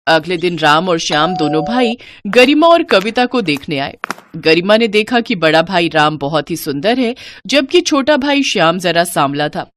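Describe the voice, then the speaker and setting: A woman's voice: lowish voice, sing-song, normal speed, a little hiss or hum. A woman narrating a scripted moral story as a storyteller.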